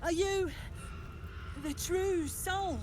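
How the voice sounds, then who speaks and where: A woman playing a brisk, wary scout in a video game, giving curt, urgent orders. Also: very high-pitched, a little mellow, slight background noise, heavily acted. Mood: urgent, commanding. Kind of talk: video game character dialogue.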